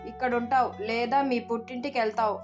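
A woman's voice: fairly high pitch, steady tone, a little fast, music in the background, close to an everyday voice. A woman voicing a harsh, greedy mother-in-law with a blunt, scolding manner in a moral story. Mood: scolding, threatening.

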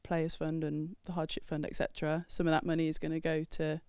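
A woman's voice: deep voice, monotone, brisk, a little mellow, smooth, dry recording. A woman in her thirties co-hosting a casual chat show, chatty and teasing, speaking into a handheld mic in a studio. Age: 30s. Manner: chatty, teasing, laughs often. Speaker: podcast co-host. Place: red studio set, indoors.

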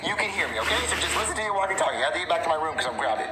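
A man's voice: on the high side, sing-song, very fast. A man voicing a whiny, naughty little turtle-monster boy in a comedy puppet skit, childish, hesitant and pestering. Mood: eager, chatty. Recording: echoey room, screen-recorded video playback, music behind.

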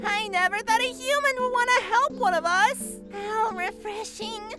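An actor voicing a small, childlike, pleading mutant blob creature with a shrill, squeaky voice in an animated comic dub. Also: moderate pace. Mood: eager, grateful. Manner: exclaiming, pleading, over-excited. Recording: loud music behind the voice.

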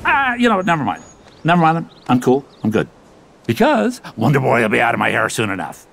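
An actor playing a fast-talking, theatrical, sarcastic god of the underworld, a scheming wisecracking villain in a video game. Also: male voice, medium pitch, wildly swooping pitch, vocal fry, a bit bright, heavily acted. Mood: irritated. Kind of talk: video game cutscene acting.

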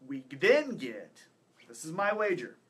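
A man in his thirties with a bright, forceful voice pitched a bit high. He talks casually as a podcast co-host, running through picks and reasoning in a conversational way. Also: huge pitch swings, measured pace. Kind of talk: casual wrestling predictions chat. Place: small indoor studio with acoustic foam panels.

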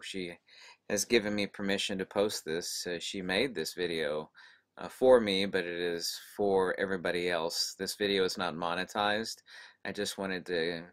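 A man's voice: low voice, steady tone, normal speed, fairly bright tone. An American man hosting and casually introducing a guest in an effusive, admiring manner. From United States. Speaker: video host.

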